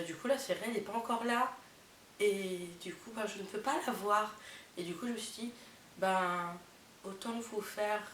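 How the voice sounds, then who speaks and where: A woman's voice: medium pitch, a bit bright. A young woman talks casually with a head cold that makes her voice nasal, filling her chat with lots of fillers and amused asides. She sits some way from the camera in an echoey room. Faint hiss runs under the recording. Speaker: vlogger. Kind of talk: casual chatty vlog, reading out summaries with reactions. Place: indoors, not her bedroom. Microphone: camera mic. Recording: roomy, distant camera mic with faint hiss.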